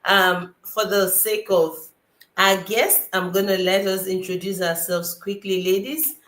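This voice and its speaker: A woman's voice: medium pitch, little pitch movement, unhurried, a bit bright. A woman from Nigeria hosts a live online chat over a video call. She sounds upbeat and welcoming. Her voice carries a roomy echo. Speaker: host.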